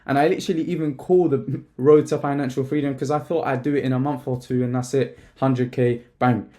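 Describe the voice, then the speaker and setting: A young man from Palestine, talking to the camera in a casual, emphatic vlog style, with slang and a coach's pitch. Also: steady tone, a little fast, mellow. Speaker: online business coach.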